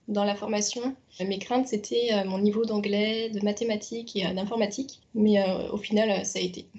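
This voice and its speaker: A woman in her twenties giving a hesitant testimonial about her training, with frequent pauses and fillers. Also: medium pitch, fairly even intonation, darkish tone, lo-fi sound, a little hiss or hum. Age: 20s. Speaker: former trainee, now AI developer. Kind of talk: testimonial interview.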